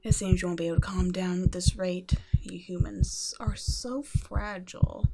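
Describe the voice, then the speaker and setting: A woman voicing a cold, teasing female vampire scientist in an ASMR roleplay, speaking softly and often in a whisper, mocking and condescending. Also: lowish voice, fairly even intonation, moderate pace, vocal fry, slight background noise. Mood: clinical. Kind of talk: ASMR roleplay audio drama.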